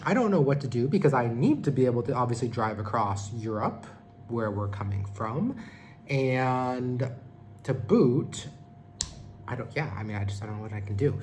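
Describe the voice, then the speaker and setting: A man's voice: mid-range voice, a little hiss or hum. A man in his thirties from California, talking casually and rambling a bit while giving a vlog update. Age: 30s. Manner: rambling, conversational, self-correcting. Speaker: vlogger.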